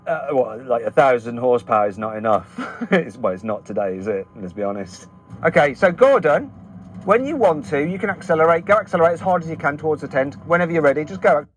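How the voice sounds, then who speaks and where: A man with an English Midlands accent hosting a car vlog outdoors on a runway, excitable and laughing often. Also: fairly high pitch, fast, slight background noise. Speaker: car reviewer and host.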